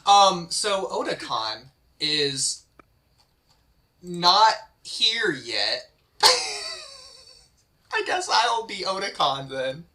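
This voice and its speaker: Bright-voiced, lively man hosting a table read. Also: high-pitched, drawn-out words, pauses often. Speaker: host directing an amateur script table read. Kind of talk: casual chat between readings.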